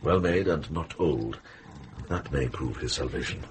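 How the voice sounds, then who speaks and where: An actor playing a shrewd, authoritative monk healer in a period radio drama, briskly questioning and giving orders. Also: male voice, very deep voice, expressive, background noise. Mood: assessing, authoritative. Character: shrewd medieval monk healer and investigator, commanding.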